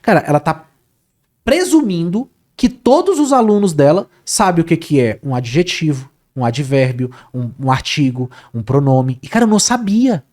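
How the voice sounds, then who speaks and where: A man's voice: a little high, normal speed. A man talking casually as an interview guest, telling a story with lots of repetition and filler words. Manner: animated, repeats words, says "cara" often. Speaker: guest, Portuguese teacher.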